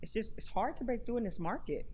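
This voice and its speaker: A woman from California talking as a guest in a casual interview, animated and often laughing between remarks. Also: on the high side, fast talker, slight background noise. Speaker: guest, rapper and actress.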